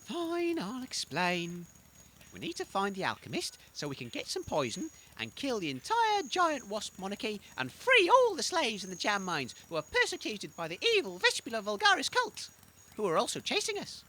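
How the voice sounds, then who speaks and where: A woman's voice: on the high side, wildly swooping pitch, normal speed, bright, forceful tone, exaggerated voice. A woman playing an earnest, naive, easily exasperated young adventurer in a comedy audio drama, flustered and indignant.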